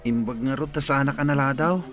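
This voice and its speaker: A man's voice: medium pitch, fairly quick, music in the background, close to an everyday voice. Man playing earnest teenage village schoolboy. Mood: wistful. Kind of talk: comedy radio drama acting.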